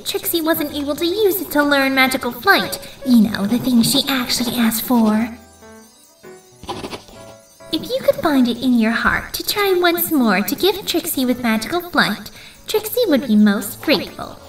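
A woman's voice: high-pitched, roomy sound, recorded from a distance, poor audio. A woman voicing a vain, theatrical young female pony magician in a comic dub, haughty and speaking of herself in the third person.

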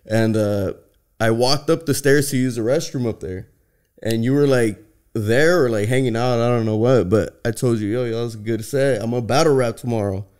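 A man in his thirties from Southern California, a comedian guest on a podcast, telling stories in relaxed street slang. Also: mid-range voice, moderate pace, smooth. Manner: laid-back slangy storytelling, says "dog" constantly. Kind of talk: casual chat. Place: podcast studio with brick wall. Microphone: boom studio mic.

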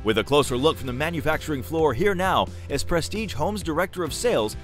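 A man's voice: a little high, expressive, a little fast, fairly bright tone, faint music behind. A man reading a scripted promotional voice-over as an announcer.

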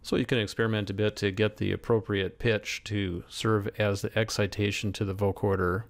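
Male instructor for screen tutorial: methodical, explanatory, gravelly fry, lower register. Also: little pitch movement, normal speed. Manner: methodical, step by step. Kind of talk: explanatory software demonstration. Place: voice-over screen recording.

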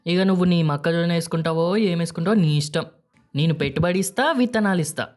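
A man's voice: a little high, fast, faint music behind, close to an everyday voice. Man acting firm, fatherly Telangana village farmer. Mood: challenging. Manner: admonishing, rhetorical questions to his sons. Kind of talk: acted dialogue in a moral story.

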